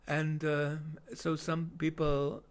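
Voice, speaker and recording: A man with a German accent giving unscripted voice-over commentary on video footage, halting with many fillers and restarts. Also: on the high side, monotone, clear, resonant tone.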